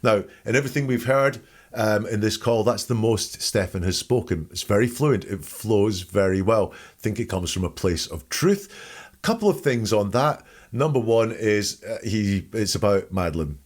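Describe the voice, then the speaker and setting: A man's voice: on the low side, animated, normal speed. A man hosting a podcast, giving informal, wry analytical commentary and reading out quotes to pick them apart. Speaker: podcast host.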